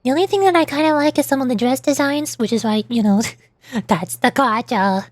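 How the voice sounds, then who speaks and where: A woman's voice: high-pitched, brisk, darkish tone, dry recording. A woman streamer chatting casually and ramblingly about games, often repeating words as she goes. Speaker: streamer and VTuber.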